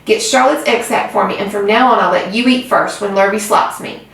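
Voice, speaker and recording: A woman's voice: mid-range voice, fairly quick, gravelly, a bit bright. A woman voicing an earnest, anxious young male pig who pleads and cries out, recorded in a very echoey room from a distance. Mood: pleading.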